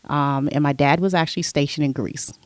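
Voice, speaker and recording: A middle-aged woman, a podcast guest, telling stories from her past in a relaxed, conversational way. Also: low voice, brisk, dry recording. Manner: relaxed storyteller, recounting her past at length. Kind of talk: casual interview chat.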